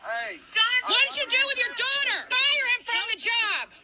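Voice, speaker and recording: A woman shouting accusations in a confrontational way, recorded in heavy noise. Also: very high voice, sing-song, moderate pace, creaky, no echo. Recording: very loud background noise, clicks.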